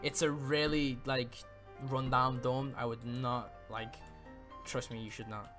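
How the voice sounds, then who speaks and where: A man's voice: medium pitch, level tone, unhurried, fairly bright tone. A man chatting casually in an improvised gaming roleplay, rambling and often repeating himself. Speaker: player in a Minecraft roleplay video. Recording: game music behind the voice.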